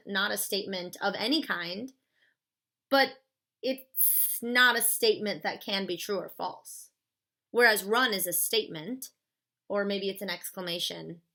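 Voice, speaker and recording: A woman's voice: medium pitch, bright, forceful tone. A woman in her thirties explaining a philosophy concept in a casual, chatty classroom way, with "like" and "stuff" as fillers. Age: 30s. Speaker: philosophy lecturer.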